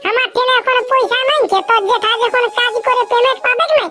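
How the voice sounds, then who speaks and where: An actor voicing a blunt, teasing rustic mother in a comic cartoon, with a Purulia Bengali accent and an earthy, cajoling way of talking in a pitched-up voice. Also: level tone, a little fast, sharp tone. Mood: pleading. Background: music.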